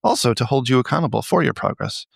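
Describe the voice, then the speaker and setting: A man hosts a loose comedy podcast in an average-pitched voice, recorded close and dry. He teases freely, swears casually and laughs easily as he keeps the chat moving. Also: expressive, fast, creaky, breathy edge, no room sound. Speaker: podcast host. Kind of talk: casual comedic banter, profane. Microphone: close mic on a group remote call.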